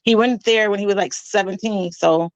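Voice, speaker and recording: A woman in her forties telling her story in an interview, matter-of-fact and a little halting. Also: mid-range voice, no room sound. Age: 40s. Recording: dull, dry lo-fi clip audio.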